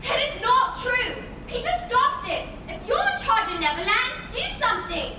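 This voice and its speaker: A woman's voice: very high-pitched, big pitch swings, moderate pace, over a noisy crowd. A woman playing an earnest, protective young girl with urgent, emphatic delivery in a live stage play. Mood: alarmed. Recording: echoey theatre, distant mics, noisy room.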